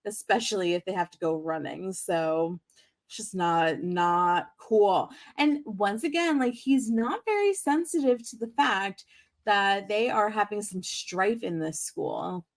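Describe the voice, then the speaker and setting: A woman's voice: medium pitch, dry recording. Closely recorded, dry-sounding woman chatting. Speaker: podcast co-host. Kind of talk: casual chat.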